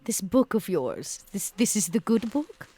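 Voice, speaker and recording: Woman playing an rpg, flirty and teasing, bouncy pitch, dry close sound. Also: big pitch swings, measured pace, warm tone, husky, dry recording. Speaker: player. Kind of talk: role-playing a flirtatious character.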